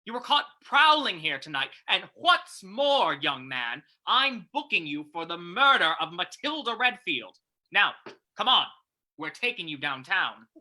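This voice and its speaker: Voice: mid-range voice, big pitch swings, measured pace, sharp tone, heavily acted. An actor playing a stern, self-assured police commissioner in an old-time radio drama, speaking emphatically and with authority. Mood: stern, accusing.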